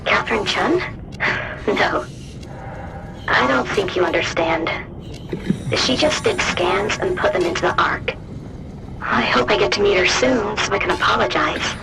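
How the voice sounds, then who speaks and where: Earnest technician with creaky voice. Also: female voice, mid-range voice, a little slow, pauses often, poor audio. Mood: curious. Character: trusting, earnest female technician, eager and relieved. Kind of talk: video game voice acting. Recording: music and ambience behind voice.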